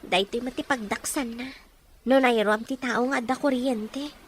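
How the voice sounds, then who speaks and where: A woman's voice: fairly high pitch, normal speed, natural voice. A woman playing a questioning female character in a scripted melodrama, recorded with some background noise. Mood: complaining. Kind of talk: scripted Ilocano audio drama dialogue.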